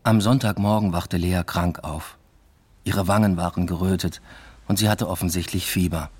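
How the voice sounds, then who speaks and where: A man's voice: deep voice, fairly even intonation, normal speed, darkish tone, husky. A man acting in a literary radio drama as a secretive, restless lover, speaking in an understated, matter-of-fact way. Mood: reflective, subdued. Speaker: first-person narrator and lead actor. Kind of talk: literary radio drama, intimate narration and dialogue.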